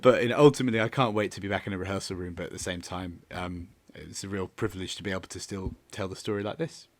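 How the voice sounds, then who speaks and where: A man's voice: deep voice, steady tone, quick pace. A man talking reflectively in an interview as a director, hesitant and often repeating words as he searches for the next phrase. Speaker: theatre and audio drama director.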